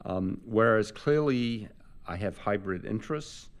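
Man, older, gravelly voice, low pitch, halting, interviewer. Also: lively intonation. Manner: halting, many fillers and restarts. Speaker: interviewer, professor. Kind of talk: conversational interview.

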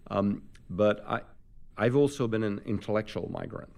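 An older man interviewing a guest in a conversational way, halting, with many fillers and restarts. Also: lowish voice, darkish tone. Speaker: interviewer, professor.

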